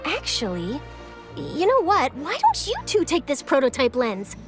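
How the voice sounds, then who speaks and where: A woman's voice: high voice, wildly swooping pitch, measured pace, over music, full character voice. A woman playing an upbeat, encouraging young female reporter in an anime-style game, speaking in an eager, articulate, reassuring way. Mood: cheerful, confident.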